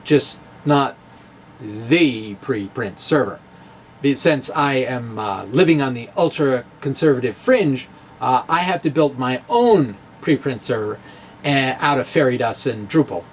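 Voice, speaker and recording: Male physics blogger, slow and animated, wry humour, slight hiss behind. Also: medium pitch. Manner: wry, playful, laughs at his own jokes. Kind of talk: dramatic reading of a blog lesson.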